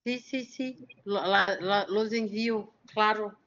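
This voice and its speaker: Slow, halting woman speaking accented Spanish. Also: mid-range voice, fairly bright tone. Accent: Porteño-influenced Spanish with Portuguese mix. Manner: halting, searching for words. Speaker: guest lecturer. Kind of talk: academic discussion after a lecture. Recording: dull, lo-fi online audio.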